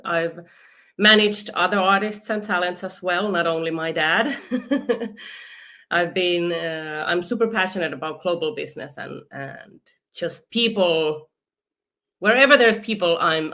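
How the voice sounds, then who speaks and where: Bright-voiced Finnish woman, enthusiastic guest. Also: lowish voice, measured pace. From Finland. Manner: enthusiastic, laughs often, restarts words. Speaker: interview guest. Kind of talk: conversational interview.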